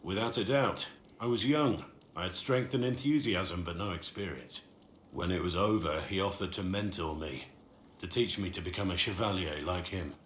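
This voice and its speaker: Game role: older wistful warrior, deep voice, reflective storyteller, faint noise behind. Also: male voice, moderate pace, fairly bright tone, roomy sound. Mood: reminiscing. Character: older wistful male warrior, regretful of youthful pride. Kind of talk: dramatic game dialogue.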